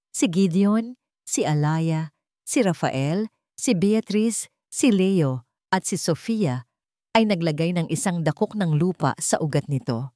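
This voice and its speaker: A woman storyteller reading a scripted dramatic story in an earnest, measured way. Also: low voice, a little mellow, no echo, studio quality.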